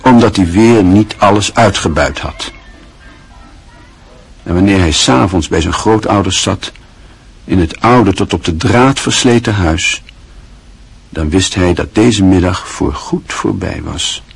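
A male narrator with a very deep voice and a strongly husky, rough texture. He reads in a measured, wistful literary style for a radio play. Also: soft background music.

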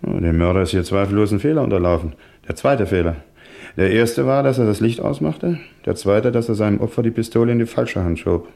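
Deferential police assistant, lowish creaky voice, dutiful, inquisitive, German radio crime play. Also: male voice, lively intonation, a little fast, mellow. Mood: keen, reasoning. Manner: dutiful, matter-of-fact, inquisitive. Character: eager, deferential male police assistant to a superintendent.

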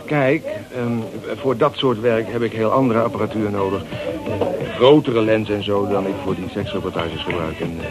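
A man's voice: lowish voice, normal speed, over music, lightly acted. An actor playing a laid-back, slightly shady young man in a Dutch radio drama, talking in a casual, hesitant, colloquial way. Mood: hesitant, sheepish.

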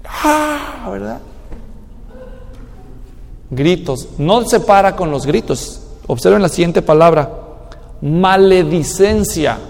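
A man's voice: high-pitched, lively intonation, takes it slowly, vocal fry, long pauses, darkish tone. A man preaching a sermon in an emphatic manner, now and then mimicking people's excuses. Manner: emphatic, acts out excuses in a mocking tone. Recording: long hall reverb.

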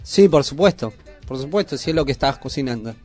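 A man's voice: mid-range voice, expressive, fast talker, darkish tone, soft background music. A man with an Argentine accent hosting a cooking segment in casual, colloquial chat full of affectionate diminutives. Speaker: host of a youth culture radio cooking segment.

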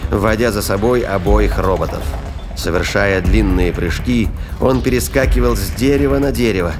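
Over a music bed, a man with a fairly low voice narrates. The delivery is scripted dramatic narration, as for an audio play. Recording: music behind the voice.

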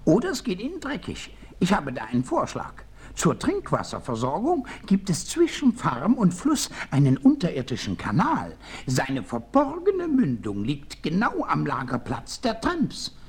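Husky, swooping eccentric scout. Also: male voice, fairly high pitch, huge pitch swings, measured pace, hardly any pauses, exaggerated voice. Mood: eager, scheming. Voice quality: husky, breathy. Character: quirky, crafty comic frontier scout, eccentric man. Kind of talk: 1960s German audio drama acting.

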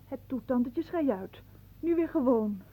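An actress playing a practical, plain-spoken young niece in a radio play, chiding and impatient. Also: female voice, high voice, lively intonation, unhurried, mellow, breathy edge, exaggerated voice. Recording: tinny, phone-like old radio recording.

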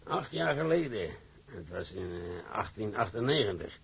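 A man's voice: fairly low pitch, big pitch swings, normal speed, creaky, a bit bright, slightly rough, exaggerated voice. An actor playing a fatherly shipping company director who speaks measuredly and kindly, with hesitant fillers.

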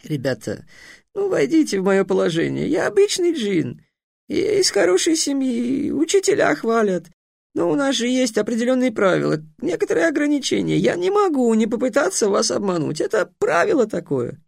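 A boastful young genie, all sly wheedling and high squeals, his pitch leaping up and down, as a male narrator puts him on. He is slippery and proud, always hunting for a loophole. The recording is dry and close. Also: huge pitch swings, normal speed, gravelly, a little mellow, no room sound, full character voice. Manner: wheedling, tricky, squealing when scared.

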